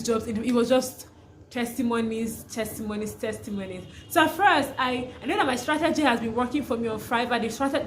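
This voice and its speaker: Chatty Nigerian woman, slightly high voice. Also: slight background noise. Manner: chatty, restarts often, laughs through stories. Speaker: vlogger, freelancer. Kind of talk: casual talk to camera.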